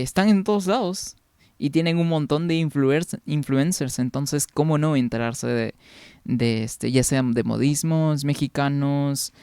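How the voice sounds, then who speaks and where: A man's voice: mid-range voice, dark tone, smooth, no room sound, studio quality. A young man from Peru, chatting casually with viewers during a game stream, rambling along and answering their comments.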